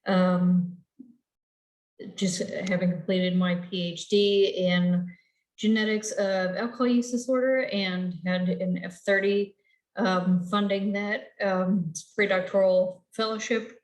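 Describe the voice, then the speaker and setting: A young woman on a video call in an echoey room, introducing herself casually and haltingly as a student panelist. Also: mid-range voice, steady tone, darkish tone. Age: young adult. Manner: halting, weary.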